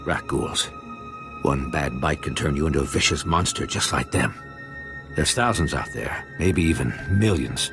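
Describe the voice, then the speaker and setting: An actor playing a grave, pessimistic security chief in a video game, matter-of-fact and grim. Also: male voice, rumbling low, animated, moderate pace, music in the background. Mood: grim warning.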